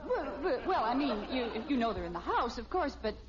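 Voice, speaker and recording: A proper, flustered housewife and mother in a vintage radio comedy. She speaks high with lively, swooping intonation and fussy politeness. The old recording is dull and noisy. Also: brisk, a crowd in the background. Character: flustered, proper suburban mother and wife, matchmaking. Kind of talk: old-time radio family sitcom acting.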